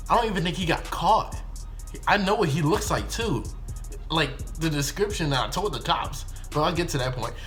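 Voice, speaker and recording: A young American man with a slightly high voice tells a casual story over background music. He rambles in loose slang, doubling back to fix his wording as he goes. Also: a bit bright. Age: young adult. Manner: rambling, slangy, self-correcting. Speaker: video storyteller.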